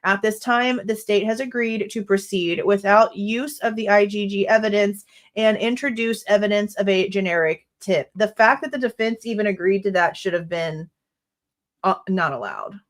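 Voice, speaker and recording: A woman's voice: mid-range voice, normal speed. A young woman with a stuffed-up nose, hosting a true crime livestream and reading a court brief aloud. She breaks off into blunt, exasperated remarks with mild swearing.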